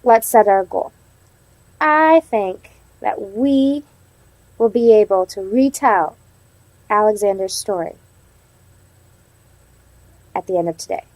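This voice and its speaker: A woman's voice: medium pitch, slow pace, pauses often, a little mellow, dry recording, low-quality recording. A woman teaching young children in a read-aloud lesson, prompting them with simple questions and reading in an instructional way. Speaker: elementary teacher.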